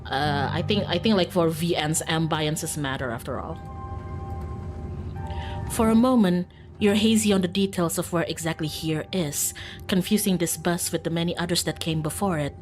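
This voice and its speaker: A woman's voice: fairly low pitch, moderate pace. A woman livestreaming a visual novel, reading the game text aloud and breaking off into playful, self-teasing, casual asides to viewers. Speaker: streamer playing a visual novel. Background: faint game music.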